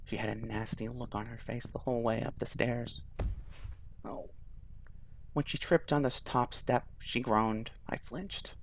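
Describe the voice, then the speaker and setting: A man quietly reading a visual novel aloud in first person, soft-spoken. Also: mid-range voice, steady tone, measured pace, creaky, slight background noise. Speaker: video game YouTuber.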